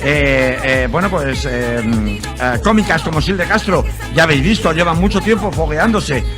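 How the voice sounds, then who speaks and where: High-voiced male radio host, halting. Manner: rambling, many hesitations and fillers. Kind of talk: radio magazine presenting. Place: radio studio. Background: music.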